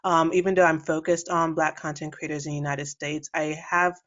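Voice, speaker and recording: A woman's voice: sits low, steady tone, a little fast. Thoughtful woman podcast host, lo-fi. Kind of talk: interview conversation.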